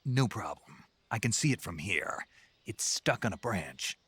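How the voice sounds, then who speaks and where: A man voicing a laconic special forces soldier with a husky voice and a dry, sardonic wit in a game. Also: on the low side, very animated, unhurried, fairly bright tone, a little hiss or hum. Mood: wry. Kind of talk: game dialogue.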